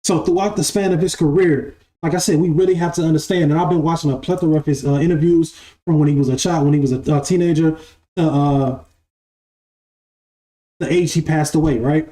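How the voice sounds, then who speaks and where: A man's voice: a little high, fairly even intonation, brisk, dark tone. A man in his twenties giving a casual spiritual talk as a live-stream host, repeating his phrases and often asking "right?". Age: 20s. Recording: echoey room with faint music.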